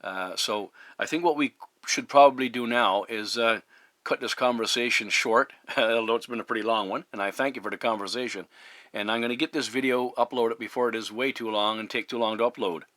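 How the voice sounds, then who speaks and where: A man chatting as a CB radio operator in a measured, courteous way. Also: mid-range voice, fairly bright tone, no echo. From Newfoundland, Canada. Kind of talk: casual radio conversation.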